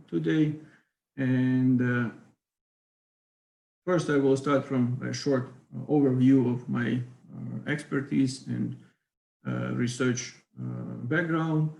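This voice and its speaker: Ukrainian-accented man, hesitant lecturer, gravelly voice, roomy echo on a call. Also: medium pitch, darkish tone. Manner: hesitant, many fillers. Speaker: physics lecturer. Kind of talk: online academic talk.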